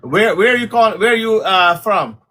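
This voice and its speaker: A middle-aged man from Guyana hosting a casual livestream as a community leader, talking in a halting way with many fillers. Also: sits high, animated, bright, forceful tone.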